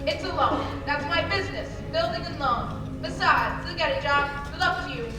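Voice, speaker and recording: A woman's voice: high voice, moderate pace, lightly acted. A young woman playing an earnest small-town husband in an old-fashioned radio play, with a folksy, emphatic delivery. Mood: kindly. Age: young adult. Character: earnest small-town husband and loan man, hot-tempered under strain. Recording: echoey live stage, distant mic, music behind.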